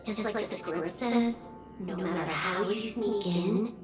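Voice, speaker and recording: A woman playing a cool, pragmatic female accomplice in a video game, measured, laconic and matter-of-fact. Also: medium pitch, roomy sound, distant mic, music in the background, lightly acted. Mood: grave, frank.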